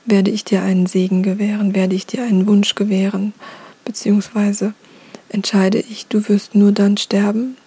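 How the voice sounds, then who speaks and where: A woman's voice: mid-range voice, little pitch movement, normal speed, dark tone, slightly rough. A woman voicing a sheepish, ashamed male thunder god, whispered.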